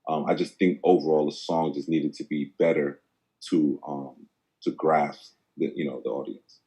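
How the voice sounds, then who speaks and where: Male judge, deep and mellow, halting delivery over a video call. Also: level tone, breathy edge, poor audio. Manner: hesitant, many ums. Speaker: competition judge. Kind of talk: giving feedback.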